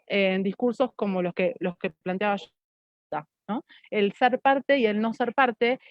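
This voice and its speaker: A woman's voice: medium pitch, no room sound, dull audio. A woman from Argentina with an Argentine accent, speaking reflectively as an academic panelist and posing rhetorical questions as she reasons. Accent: Argentine Spanish.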